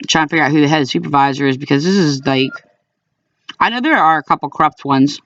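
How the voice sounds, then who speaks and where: A man speaking informally as an aggrieved caller, in a halting, repetitive way, recording complaints about calls. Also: fairly high pitch, fairly bright tone, no room sound, far from the mic, lo-fi sound. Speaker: caller recording own phone calls to a police dispatcher. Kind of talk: informal complaint to camera and on phone.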